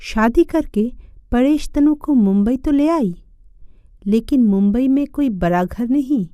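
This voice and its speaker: A woman's voice: fairly high pitch, lively intonation, unhurried, dark tone, no room sound, studio quality. A woman narrating a scripted moral story.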